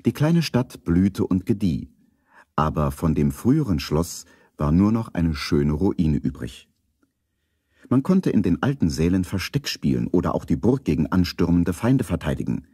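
A man narrating a children's story in a calm, scripted 1970s radio play style. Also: deep voice, moderate pace, slightly rough, no echo, studio quality, exaggerated voice.

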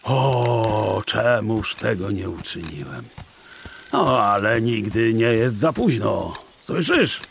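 Kindly old scholar, exasperated, theatrical, slow and gravelly, audio drama. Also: male voice, on the low side, very animated, slight background noise, full character voice. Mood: mock anger. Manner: theatrical, wordy, mock-stern.

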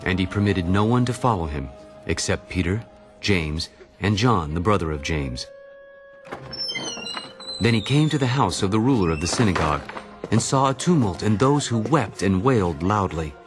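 A man narrating a dramatized audio Bible in a solemn, deliberate storytelling manner. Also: deep voice, lively intonation, faint music behind.

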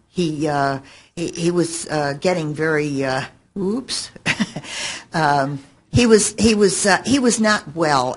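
Older woman, halting, with background noise. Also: sits low. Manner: halting, searching for words. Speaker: panelist, fan and researcher of an old radio show. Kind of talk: informal panel recollection. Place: indoor hotel conference room. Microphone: table microphone on stand. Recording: noisy hotel meeting room.

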